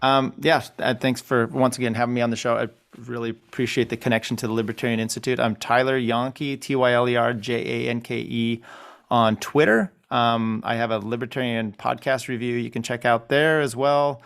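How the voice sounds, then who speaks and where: A man of middle pitch with a gravelly edge speaks as a podcast guest over a remote line. He works as an attorney. He rambles and restarts his sentences often. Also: little pitch movement, a bit bright. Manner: rambling, halting, with many restarts. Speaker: guest, family law attorney. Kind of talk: casual podcast chat. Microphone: remote call.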